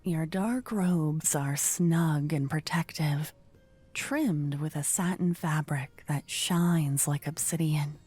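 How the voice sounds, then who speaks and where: A woman narrating a scripted fantasy ASMR story in a soft, whispery voice. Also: sits low, slow pace, husky, soft background music.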